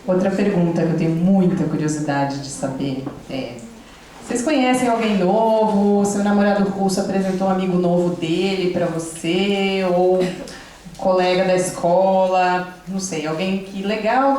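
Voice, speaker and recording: A woman leads a panel and asks her questions with curiosity, acting out the lines of the people she describes. Her voice is mellow and warm. Heavy room echo surrounds it. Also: mid-range voice, normal speed, slight background noise. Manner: curious, acts out quoted questions. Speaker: moderator. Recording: echoey hall.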